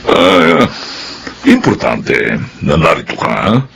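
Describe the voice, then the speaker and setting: A formal lawyer in a radio drama. His lowish voice is gravelly and swings widely in pitch as he explains with slow deliberation, with clear noise behind him. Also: huge pitch swings, exaggerated voice. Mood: solemn, matter-of-fact. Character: formal, measured male lawyer reading out a will.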